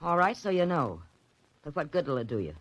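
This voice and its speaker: A woman's voice: low-pitched, big pitch swings, brisk. An actress playing a cold, guarded, defiant woman in a 1940s radio drama, speaking curtly and haughtily. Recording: noisy old radio recording, clicks.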